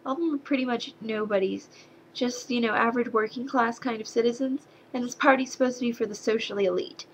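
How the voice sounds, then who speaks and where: A woman's voice: fairly high pitch, quick pace, a little hiss or hum, poor audio. Sarcastic young woman with slight Southern accent. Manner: sarcastic, exasperated, animated rants. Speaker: movie reviewer. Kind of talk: casual movie review to camera.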